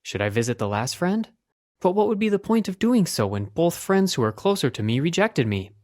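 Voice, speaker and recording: A woman's voice: fairly low pitch, sing-song, brisk, darkish tone, pitched below the performer's own voice. A woman voicing an anxious, earnest young man in a children's story, with dramatic, plaintive delivery. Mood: dejected.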